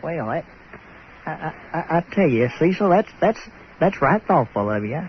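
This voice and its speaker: An actor playing a folksy, excitable manure hauler with a Southern American accent, stammering and drawling in an old-time radio comedy. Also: male voice, a little high, normal speed. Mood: impressed, touched. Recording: muffled radio sound with faint noise.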